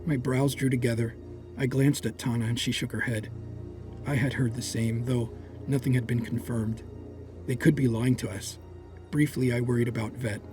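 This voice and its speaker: A man's voice: mid-range voice, natural voice. A man playing a proud, hot-tempered warrior in an audio drama, speaking in grave, formal, theatrical phrases. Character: proud, hot-tempered dark warrior, fiercely protective brother. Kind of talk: first-person dramatic audio drama narration.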